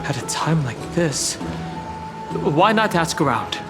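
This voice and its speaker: A man's voice: on the high side, sing-song, takes it slowly, music in the background. An actor playing an earnest, concerned young male adventurer in a video game. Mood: urgent.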